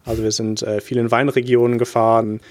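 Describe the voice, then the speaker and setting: Young male guest from England, mid pitch, earnest and halting with fillers. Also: level tone, darkish tone. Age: young adult. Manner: hesitant, many fillers, earnest. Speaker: guest, wine investment manager. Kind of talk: interview answers.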